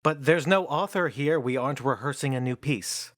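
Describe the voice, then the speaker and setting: An actor playing a pompous, bossy and exasperated theater manager in a radio play, declaiming theatrically. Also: male voice, mid-range voice, moderate pace, no room sound, clean studio sound, lightly acted. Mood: irritated.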